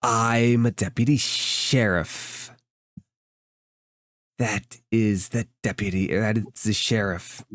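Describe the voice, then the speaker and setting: A man voicing a stern female praying mantis deputy sheriff in a deliberate, plain-spoken way. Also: fairly low pitch, fairly even intonation, a bit bright, dry recording, studio quality.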